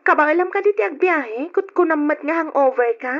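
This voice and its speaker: High voice nagging gf on a phone call, old radio drama, muffled lofi. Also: female voice, moderate pace. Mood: demanding, worried. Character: demanding, anxious girlfriend calling by telephone.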